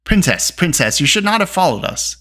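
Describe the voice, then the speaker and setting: Reader playing a storybook peacemaker man, calm, grave, mid-pitched, measured, gentle.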